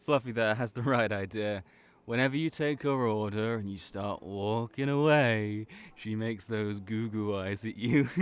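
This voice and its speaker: A man's voice: lowish voice, measured pace, smooth, close to an everyday voice. A man playing a teasing young ram hybrid who works in a cafe, with playful, needling banter in an audio roleplay.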